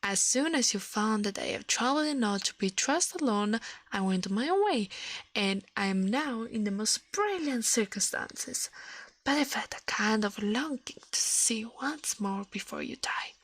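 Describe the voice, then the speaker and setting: A woman voicing an elegant, self-assured shadow gentleman from a storybook, formal and boastful, in a soft breathy voice. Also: on the high side, animated, moderate pace, dry recording.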